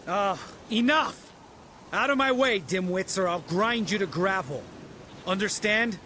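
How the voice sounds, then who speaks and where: An actor playing a cocky, hot-headed younger monster hunter in a video game, brash and mocking. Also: male voice, sits high, lively intonation, measured pace, background noise. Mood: angry, urgent. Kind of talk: video game character acting. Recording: loud music bed under dialogue.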